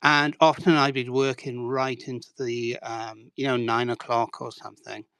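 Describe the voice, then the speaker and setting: A man in his sixties from Wales speaks as an interview guest, telling his story in a loose, self-deprecating way with plenty of "sort of" and "um". His voice is smooth and resonant, recorded dry and close with no room sound. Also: medium pitch, level tone, normal speed, a bit bright, no echo. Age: 60s. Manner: rambling, self-deprecating, many hedging fillers.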